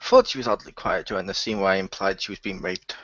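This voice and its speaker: A man's voice: low-pitched, fast, a bit bright, no room sound. A man chatting casually as a cast member, teasing the others and laughing a lot. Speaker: cast member of an audio drama. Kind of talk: casual chat. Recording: dull lo-fi, dry sound.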